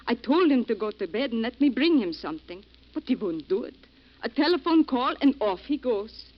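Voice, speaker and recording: A cold, scheming actress. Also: female voice, a little high, very animated, normal speed. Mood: worried. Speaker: actress in radio drama. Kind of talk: 1940s radio acting. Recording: dull old radio broadcast.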